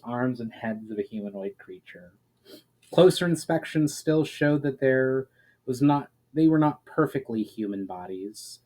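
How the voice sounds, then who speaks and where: Man giving a deliberate dramatic story reading. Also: medium pitch, darkish tone, rough recording. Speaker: amateur author reading own fantasy story. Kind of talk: dramatic reading of fantasy narration.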